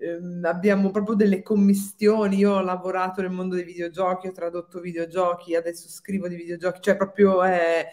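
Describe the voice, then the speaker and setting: A woman's voice: fairly low pitch, flat delivery, brisk, few pauses, a little mellow. Woman explaining in a casual interview. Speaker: guest film producer. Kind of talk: casual interview on a live stream.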